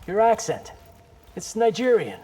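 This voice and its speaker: Curious young male fighter, game. High, animated, informal, boyish eagerness. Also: a little slow, in a large hall, a little hiss or hum. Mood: curious, casual. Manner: casual, eager. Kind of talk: video game fight dialogue.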